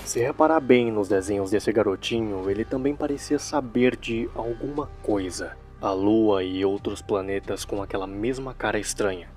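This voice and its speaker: A man's voice: lowish voice, normal speed, few pauses, a little mellow, faint music behind. A Brazilian man narrating a story recap in a casual commentary style, with wry, joking asides. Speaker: narrator of a horror series recap video.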